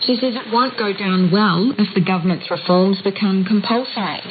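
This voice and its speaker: A woman's voice: mid-range voice, moderate pace. A woman with a New Zealand accent reading a scripted radio news report as a reporter. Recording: muffled shortwave radio, clear hiss. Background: static hiss.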